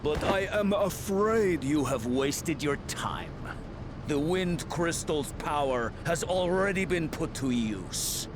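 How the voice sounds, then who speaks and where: Grandiose, swooping tyrant villain. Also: male voice, a little high, expressive, slow pace, noisy background. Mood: smug, scornful. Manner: grandiose, theatrical, sneering. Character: pompous, megalomaniac male tyrant villain bent on conquest. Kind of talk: video game cutscene voice acting. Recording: loud orchestral music behind. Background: dramatic game music.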